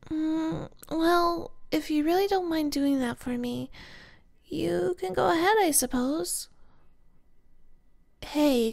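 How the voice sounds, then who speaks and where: A woman playing an easygoing, playful young kidnap captive who loves gaming, speaking softly and casually in an ASMR roleplay audio drama. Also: high-pitched. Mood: hesitant, pleading, then pleading, reassuring. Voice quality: soft. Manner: casual, teasing, conversational.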